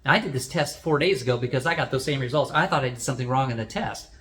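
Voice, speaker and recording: A man's voice: mid-range voice, rapid-fire, fairly bright tone. A man hosting a product review, explaining things in a plain, unscripted way as he demonstrates.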